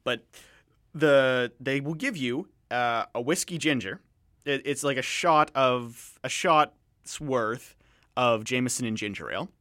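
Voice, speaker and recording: A man hosting a radio show, chatting casually about films in a rambling, digressive way with halting restarts. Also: mid-range voice, a bit bright, no room sound, studio quality. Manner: rambling, digressive, halting with repeated false starts. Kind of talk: casual film review chat.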